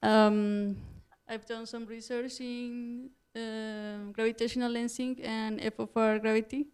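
A woman from Colombia introducing herself carefully and deliberately. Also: a little high, flat delivery, darkish tone, smooth. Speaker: new graduate student.

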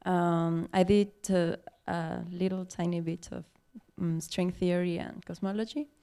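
A woman from Mexico introduces herself shyly, with many fillers. Her voice is low for a woman and has a gravelly fry. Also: steady tone, a little slow, warm tone. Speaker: new graduate student.

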